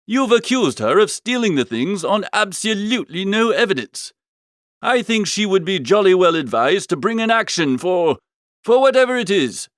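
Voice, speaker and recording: A foppish young upper-class gentleman, voiced by a man in a comic storybook, pitched high and very animated. He talks in earnest, emphatic slang, part ditherer and part indignant scold. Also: dry recording, studio quality, exaggerated voice.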